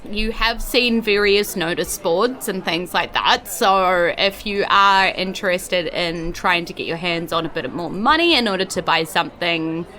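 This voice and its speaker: A woman with a New Zealand accent narrating a tabletop game as game master, improvising in a chatty, animated way. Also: mid-range voice. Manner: animated, conversational.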